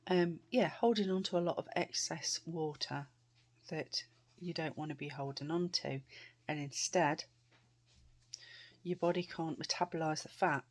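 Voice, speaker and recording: A British woman vlogging casually to camera, chatty and rambling, her voice a bit croaky. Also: low voice, a little slow, long pauses. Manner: chatty, rambling with many fillers and restarts.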